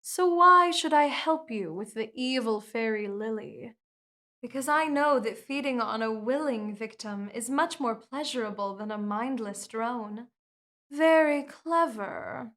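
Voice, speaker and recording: A woman voicing a slow, hypnotic vampire queen. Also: fairly high pitch, animated, pushed and bright. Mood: commanding. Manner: slow, soothing, hypnotic and controlling. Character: commanding seductive female vampire queen. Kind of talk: ASMR hypnosis roleplay.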